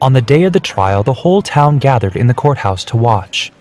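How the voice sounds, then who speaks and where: A man's voice: mid-range voice, sing-song, a little fast, a little mellow. A man narrating a scripted story for children.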